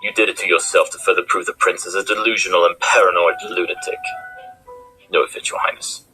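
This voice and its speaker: A man voicing a shrewd, smug cartoon pony detective with a theatrical, deliberate way of explaining things. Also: deep voice, steady tone, pushed and bright, faint music behind, low-quality recording. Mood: triumphant reasoning. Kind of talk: fan cartoon voice acting.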